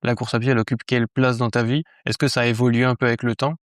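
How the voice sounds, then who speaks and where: A man's voice: mid-range voice, little pitch movement, racing, no room sound, clean studio sound. A man hosting a podcast interview, asking friendly questions with frequent fillers. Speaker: podcast host.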